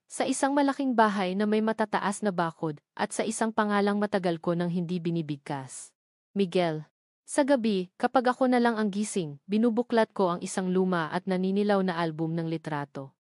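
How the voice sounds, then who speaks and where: A woman narrates a scripted love drama in the first person. Her voice sits at a middle pitch, recorded dry with no room around it. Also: brisk, a little mellow, no echo. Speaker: narrator of a love story channel.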